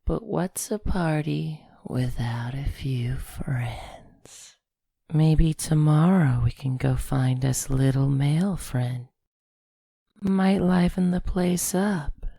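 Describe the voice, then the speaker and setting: Creepy, gravelly, slow-talking killer. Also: male voice, on the high side, mellow, dry recording, exaggerated voice. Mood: gloating. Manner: slow, sing-song, falsely sweet coaxing. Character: creepy male child killer posing as ice-cream vendor. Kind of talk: comic dub villain acting.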